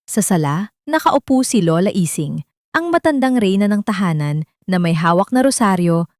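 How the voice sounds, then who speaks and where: A woman's voice: mid-range voice, darkish tone, dry recording. Woman narrating dramatic fiction, dry sound. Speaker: audiobook narrator. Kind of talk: dramatic scripted story narration.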